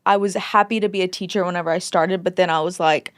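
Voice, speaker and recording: A woman's voice: fairly low pitch, steady tone, fast, no echo. Candid, informal woman guest in dry sound. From Southern United States. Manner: informal, candid, acts out quoted remarks. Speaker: podcast guest, former teacher. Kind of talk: casual candid chat.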